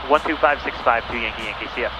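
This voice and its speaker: A man with a medium-pitched voice speaks as a private pilot from the cockpit of a small plane. His delivery is casual and clipped, picked up on a headset over clear engine noise. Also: rapid-fire. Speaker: private pilot showing a friend the flight. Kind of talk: casual cockpit chat and clipped radio calls. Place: inside a small plane in flight. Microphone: aviation headset. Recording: noisy light aircraft cockpit headset audio. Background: steady engine noise.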